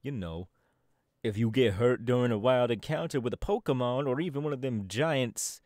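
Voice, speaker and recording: A man's voice: mid-range voice, normal speed, darkish tone, no room sound, studio quality, close to an everyday voice. A man voice acting a laid-back young male DJ gang boss in an ASMR audio roleplay, talking in an easygoing, chatty drawl. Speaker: voice actor.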